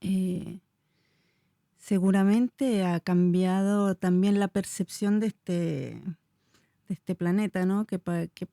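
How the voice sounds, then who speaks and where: A woman's voice: mid-range voice, steady tone, slow pace, dark tone, no room sound, clean studio sound. A woman in her forties from Chile, a dance teacher answering interview questions in a searching, hesitant way with many fillers. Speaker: dance teacher and movement researcher.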